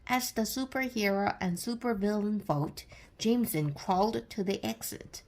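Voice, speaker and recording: A woman reading a children's story aloud as scripted narration, with deliberate, expressive storytelling. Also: mid-range voice. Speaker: storybook reader.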